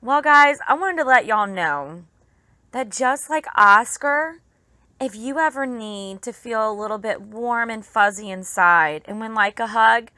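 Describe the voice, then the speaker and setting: A woman teacher narrating a children's storybook aloud, expressively, with drawn-out emphasis for young listeners. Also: a little high, animated, bright, forceful tone, no room sound. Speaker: pre-kindergarten teacher.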